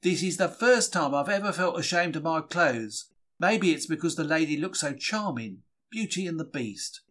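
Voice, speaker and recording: A man giving an expressive, wry first-person reading of a diary. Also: on the high side, normal speed. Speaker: diary reader.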